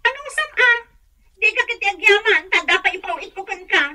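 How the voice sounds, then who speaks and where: A woman's voice: extremely high pitch, expressive, fairly quick, pushed and bright, heavily acted. A woman playing an exasperated, scolding wife in a radio drama, with an Ilocano accent.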